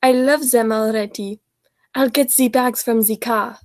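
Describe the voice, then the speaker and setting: Dreamy, timid male crook's sidekick, acted by a young woman: amateur radio play, high voice, unhurried drawl, dry close sound. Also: steady tone, dry recording, lightly acted. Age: young adult.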